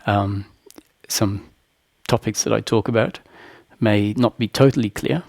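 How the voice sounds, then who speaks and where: A man with a creaky voice hosting a live online question-and-answer talk, speaking in a halting, deliberate way. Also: lowish voice, steady tone, darkish tone, no room sound. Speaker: host, spiritual teacher.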